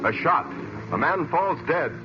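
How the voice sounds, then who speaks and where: An actor playing a dramatic mystery announcer, narrating in melodramatic old-time radio style. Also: male voice, fairly high pitch, very animated, measured pace, background noise. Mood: ominous, dramatic. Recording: muffled vintage radio recording.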